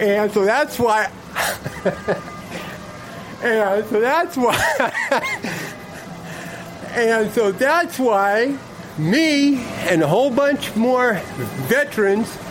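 Slow, lively-voiced man over street music. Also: high voice, background noise. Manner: chuckling, repeats "okay". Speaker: interviewee. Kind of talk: street interview. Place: city street outdoors.

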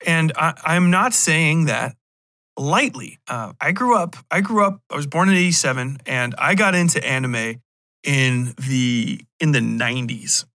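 A man's voice: a little high, a little slow, bright, forceful tone. An American man in his thirties rambling casually on a podcast, slangy, profane and opinionated. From Cincinnati, USA. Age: 30s. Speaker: podcast host.

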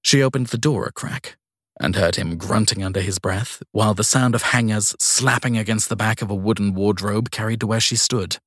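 Low-voiced male audiobook narrator. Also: normal speed, fairly bright tone, breathy edge, no room sound, studio quality. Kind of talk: scripted narration of crime fiction.

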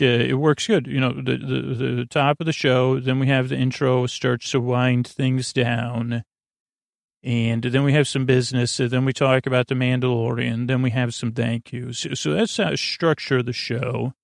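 A man hosting a bedtime podcast, rambling in a halting, meandering way and often trailing off mid-thought. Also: mid-range voice, no echo, clean studio sound. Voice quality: creaky, gravelly. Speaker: podcast host.

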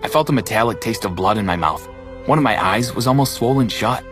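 A man's voice: fairly low pitch, lively intonation, brisk, over music, close to an everyday voice. An actor playing a bitter, wounded young man who narrates his own thriller dramatically in the first person. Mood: tense, grim.

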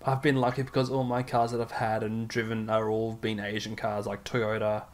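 A man's voice: lowish voice, monotone, normal speed. A man chatting casually as a podcast co-host, with an easygoing, agreeable way of talking. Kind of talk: casual chat.

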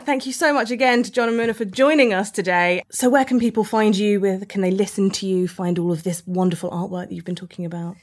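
A woman hosts a podcast, chatting in a conversational interviewing style. Her voice sits at a middle pitch for a woman. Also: fairly even intonation, fast talker, few pauses. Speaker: podcast host.

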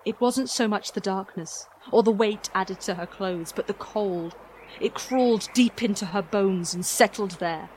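A woman's voice: medium pitch, a little slow, a little mellow, a little hiss or hum, poor audio. A woman reading a scripted fairy-tale narration in a storytelling style.

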